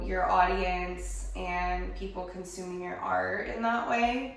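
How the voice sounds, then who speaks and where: Hoarse woman chatting in an echoey room. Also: medium pitch, distant mic. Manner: chatty, lots of fillers. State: hoarse from a head cold. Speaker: vlogger. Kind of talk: casual chat to camera. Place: her home studio.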